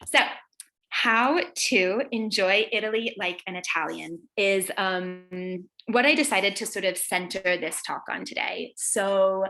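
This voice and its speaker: A woman from North Carolina talks through a video call in a bright, clear voice. She rambles along, full of fillers and quick self-corrections, as she gives an informal live presentation. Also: mid-range voice. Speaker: guest speaker, former tour guide.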